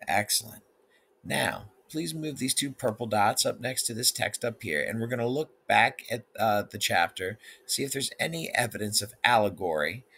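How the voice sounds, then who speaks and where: A man's voice: fairly low pitch, fairly bright tone, slightly rough. A male teacher, guiding students through an instructional read-aloud lesson.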